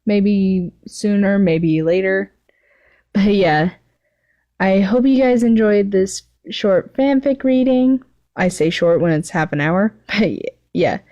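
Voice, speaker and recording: A woman's voice: mid-range voice, slow, dark tone, dull audio. A woman giving an amateur, self-deprecating story reading with casual chat, stumbling over words now and then. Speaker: fan fiction writer and reader, channel host.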